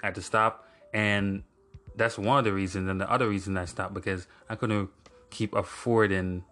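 A man's voice: on the low side, steady tone, normal speed, a bit bright. A young man from Jamaica hosting his own podcast, talking casually and rambling with fillers and self-corrections. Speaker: podcast host. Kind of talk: casual solo podcast monologue.

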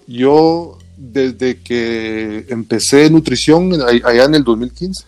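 A man's voice: medium pitch, darkish tone. A man with a Guatemalan accent, an interview guest telling personal anecdotes in an informal, colloquial way. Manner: informal, colloquial storytelling with tag words. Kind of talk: casual chat, personal anecdotes.

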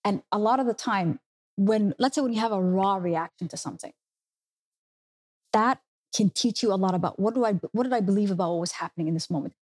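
A woman's voice: medium pitch, a little fast, darkish tone, slightly rough. A woman therapist appearing as a guest, explaining psychological ideas in a thoughtful, patient way during a casual chat. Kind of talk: casual conversation.

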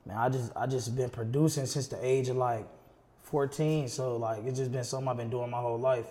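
A relaxed thirty-something Indiana guy you'd hear as the guest on a local rap podcast, chatting in slang in a ringing room. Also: medium pitch, a little fast. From South Bend, Indiana, United States. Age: 30s. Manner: slangy, loose, frequent "you know". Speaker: podcast guest, rapper and music producer. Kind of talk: casual chat. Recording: reverberant room.

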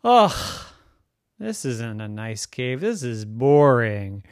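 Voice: mid-range voice, slow pace, no echo, studio quality. A storyteller voicing an adventurous young girl explorer who jumps from boredom to thrilled squeals. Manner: squealing, breathless excitement.

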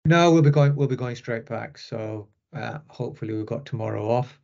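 A man's voice: mid-range voice, normal speed, vocal fry, mellow, muffled recording. A middle-aged man from Rochdale with a Northern English accent, answering interview questions conversationally. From Rochdale, northern England. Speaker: interview guest, sports medicine consultant.

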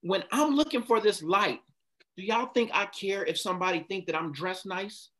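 A man's voice: sits high, moderate pace, sharp tone. A man giving a passionate motivational talk, stopping often to ask his listeners questions. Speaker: speaker leading a group talk.

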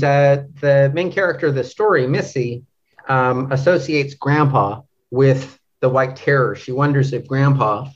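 Hesitant man, slightly dull recording. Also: mid-range voice. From Canada. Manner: halting, searching for words, frequent hesitations. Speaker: literary translator, podcast guest. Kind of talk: thoughtful interview discussion of literature.

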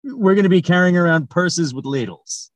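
A man with a slightly high voice hosts a casual comic interview. He responds as a dry, bemused straight man, often hesitating and restarting as he works through what he is told. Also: normal speed. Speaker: podcast host.

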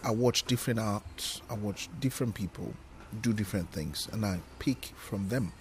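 A Nigerian-accented man whose first language is Yoruba, talking with a slightly low voice. He tells his stories emphatically and with lively energy, as a comedian guest on a podcast. A faint hiss sits under his voice. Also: normal speed. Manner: animated, emphatic storyteller. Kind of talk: podcast interview chat.

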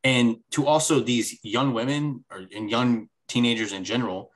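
A man's voice: medium pitch, steady tone, normal speed, rough recording. A man co-hosting a podcast in casual chat, rambling and restarting his sentences, emphatic about his opinions. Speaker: podcast co-host.

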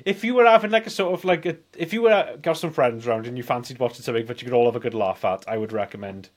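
A man's voice: mid-range voice, fast talker. A man in his forties rambling through casual film banter as a podcast co-host. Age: 40s.

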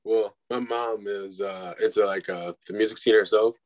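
Chill twenties guy from milwaukee, lowish voice, super dry recording, laid back. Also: fairly even intonation, moderate pace, no echo. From Milwaukee, Wisconsin, United States. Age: 20s. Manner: laid-back, says "bro", laughs between phrases. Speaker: guest, dubstep producer and DJ. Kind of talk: casual interview chat.

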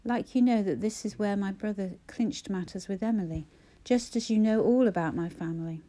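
A woman's voice: mid-range voice, normal speed, dark tone, natural voice. A woman voicing a stern younger man who speaks in a cold, cutting and condemning way.

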